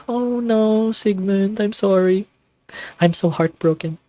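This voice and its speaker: A man's voice: high voice, slow, warm tone, dry recording, voice pushed up. A man voicing a young male student in a visual novel, mild and sincere in manner. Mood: anguished.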